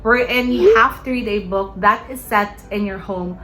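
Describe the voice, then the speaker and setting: A woman's voice: mid-range voice, moderate pace, over music. A young woman from the Philippines, a native Tagalog speaker, chatting eagerly through her reading list in a casual vlog. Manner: chatty, eager, filler-heavy. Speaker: book vlogger.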